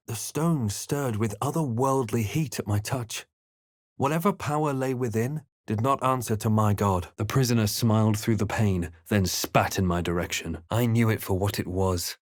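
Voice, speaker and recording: A man playing a wary, quietly wry fantasy adventurer in a video game, speaking in a measured, deliberate, dramatic way. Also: fairly low pitch, no room sound, studio quality. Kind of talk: video game voice lines, dramatic narration and muttered asides.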